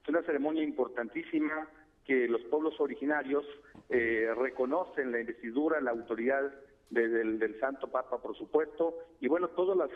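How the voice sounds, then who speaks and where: A man from Chiapas whose first language is Tseltal, speaking as a guest expert by phone in a measured, formal way. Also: medium pitch. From Chiapas, Mexico. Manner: measured, formal, addresses host by name. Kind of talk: radio phone interview. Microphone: telephone. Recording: tinny phone line.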